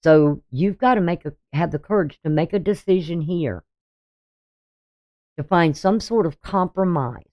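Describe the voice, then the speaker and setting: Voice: mid-range voice, unhurried. Rambling astrologer in their sixties, muffled. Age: 60s. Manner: rambling, hesitant, with frequent ums and restarts. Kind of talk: casual daily astrology reading over a chart.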